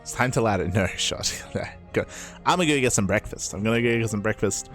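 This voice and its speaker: A man with a hoarse, raspy voice, an esports caster chatting casually as he signs off a stream, rambling and repeating himself. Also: lowish voice, expressive, brisk, fairly bright tone, faint music behind. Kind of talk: casual stream sign-off chat.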